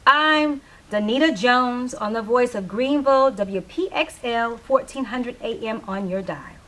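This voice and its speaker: A woman performing as a female small-town radio host from the seventies, in a stage play reading. Her voice sits fairly high, and she is emphatic and opinionated, preaching proudly at her listeners. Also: measured pace, sharp tone. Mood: brisk, announcing.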